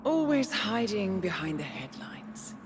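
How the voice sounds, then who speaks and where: An actress playing a taunting, contemptuous phantom in a nightmare scene of a video game, with theatrical, mocking, drawn-out phrasing. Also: female voice, mid-range voice, very animated. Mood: mocking contempt. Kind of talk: dramatic video game cutscene acting. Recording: loud ambient noise behind the voice.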